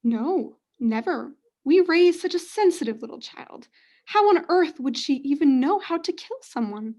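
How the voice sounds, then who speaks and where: A young woman plays a doting mother in denial in a student radio drama. Her voice is notably high, with a coy, theatrical delivery that sounds pleased with herself. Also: animated, measured pace, darkish tone. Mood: reassuring. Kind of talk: student radio play acting.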